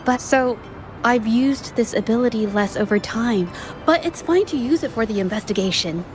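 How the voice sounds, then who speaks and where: A woman voicing a soft-spoken young painter. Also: on the high side, moderate pace, background noise, close to an everyday voice. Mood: thoughtful. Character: gentle young female painter, thoughtful, curious. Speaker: game character. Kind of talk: game dialogue voice acting.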